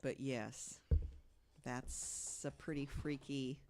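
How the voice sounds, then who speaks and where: Woman, podcast co-host, casual storytelling, hushed for scary parts, chatty fillers. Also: a bass voice, fairly even intonation, takes it slowly, a little hiss or hum. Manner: chatty storyteller, fills with "you know", drops to hushed tones for the scary parts.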